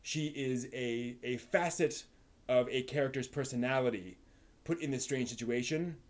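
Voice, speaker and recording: A man hosting a casual live stream, rambling analytically as the host, often halting and repeating words while thinking aloud. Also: medium pitch. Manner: analytical, explanatory.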